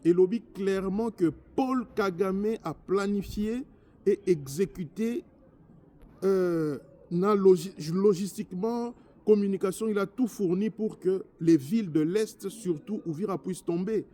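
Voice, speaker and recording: Congolese man, mellow higher voice, political address. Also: a little slow. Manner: emphatic, repetitive, switching between French and Lingala. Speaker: politician, former member of parliament.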